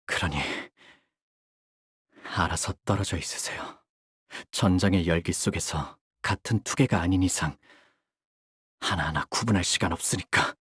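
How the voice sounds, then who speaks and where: An actor playing a young, bird-like warrior in a game, polite and formal in speech yet bloodthirsty, with theatrical delivery. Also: male voice, sits low, normal speed, long pauses, a bit bright, husky, no room sound, clean studio sound, full character voice. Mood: calm menace. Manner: polite, formal speech breaking into fierce intensity. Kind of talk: Korean game character voice lines, theatrical.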